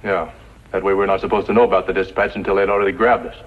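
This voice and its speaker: A man acting a rough, blunt outlaw henchman and stagecoach robber with a gruff, urgent manner in a 1950s radio western. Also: deep voice, level tone, racing, close to an everyday voice. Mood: uneasy. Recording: noisy muffled vintage radio recording.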